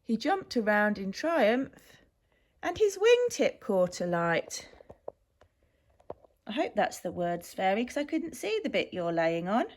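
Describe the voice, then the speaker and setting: A woman reading a children's picture story aloud with expressive, emphatic delivery, like an infant school teacher. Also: medium pitch. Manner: animated, measured storytelling with emphasis.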